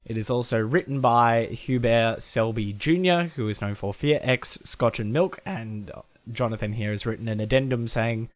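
A man's voice: medium pitch, normal speed. Sick, nasal-sounding male podcast host. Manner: chatty, playful, laughs at himself. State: sick, blocked nose. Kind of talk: casual podcast chat. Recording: faint fuzz under the voice.

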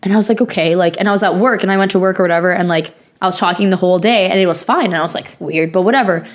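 A hoarse, sniffly young woman co-hosting a casual chat, rambling through a story with lots of fillers. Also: fairly low pitch, racing. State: hoarse, recovering from lost voice; sniffly from sinus infection.

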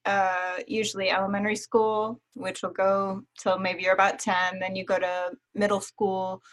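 A woman's voice: medium pitch, little pitch movement, moderate pace, a bit bright. A woman, hosting a casual interview, enthusiastic and patient when she explains things. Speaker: host.

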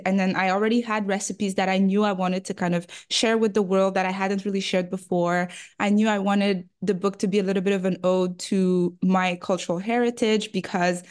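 Brief: young woman, native French speaker, talks about her work with easy laughter and plenty of "like". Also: mid-range voice. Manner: warm, laughs often, uses many fillers like "like". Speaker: guest, food photographer and cookbook author. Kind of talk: casual interview chat.

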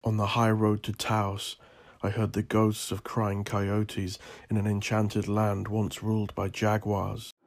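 A man from Northern Ireland narrating his own scripted story as an author, in a brooding, solemn, deliberate and theatrical manner. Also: lowish voice, completely flat delivery, a little hiss or hum.

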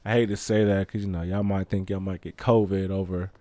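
A man hosting a casual solo podcast, stuffy from a cold, rambling excitedly with slang and many restarts. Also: low voice, brisk, no echo. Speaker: podcast host.

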